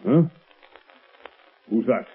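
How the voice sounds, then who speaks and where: A man playing a smug, gruff police commissioner in a 1930s radio drama, husky, blustery and self-satisfied. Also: drawn-out words. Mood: startled. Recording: tinny 1930s radio recording.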